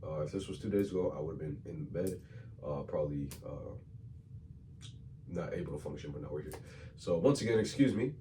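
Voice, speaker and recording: A man hosting a casual podcast, hoarse from a cold, loose and slangy, repeating himself as he talks. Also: very deep voice, expressive, a little fast, pauses often, mellow. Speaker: podcast host. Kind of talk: casual chat. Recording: distant mic with faint room noise.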